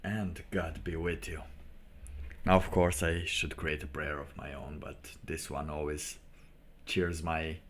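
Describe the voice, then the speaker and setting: A man hosting a casual livestream monologue in a halting, rambling manner with preacher-like asides. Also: a bass voice, steady tone. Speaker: livestream host.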